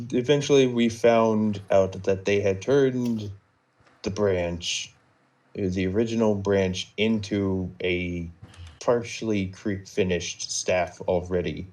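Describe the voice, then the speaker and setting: A man recounting a roleplay story off the cuff on a live stream, halting and measured, wandering into small digressions. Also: low voice, a little mellow. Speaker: storyteller recapping a game server roleplay.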